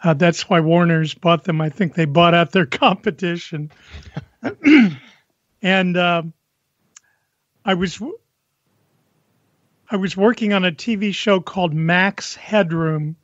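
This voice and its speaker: An older male interview guest with a notably high voice for a man. He talks conversationally, recounting things as a guest would, on a very dry and slightly dull recording. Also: takes it slowly, long pauses, a bit bright, no echo. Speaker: podcast guest, composer and musician.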